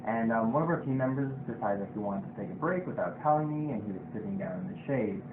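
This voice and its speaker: A man's voice: on the low side, animated, fast talker, noisy background. Young man on a tinny echoey line. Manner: talkative, uses "you know" often. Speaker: job candidate in a role-play. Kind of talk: casual role-play.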